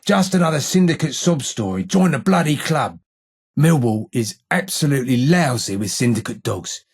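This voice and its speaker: A man voicing a gruff, foul-mouthed, sardonic old London gangster ghoul in a video game, with a husky voice and salty Cockney slang. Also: mid-range voice, lively intonation, moderate pace. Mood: sardonic, dismissive. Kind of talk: video game dialogue acting.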